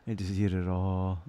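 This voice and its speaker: A young man playing a soft, playful, devoted boyfriend in an intimate ASMR roleplay, breathy, hesitant and often near a whisper. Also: deep voice, heavily acted. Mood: playful, sheepish.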